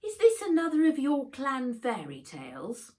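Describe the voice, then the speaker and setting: A woman's voice: sits high, wildly swooping pitch, moderate pace, warm tone, voice pushed up. A woman reading a storybook in the voice of a bold, questioning young girl.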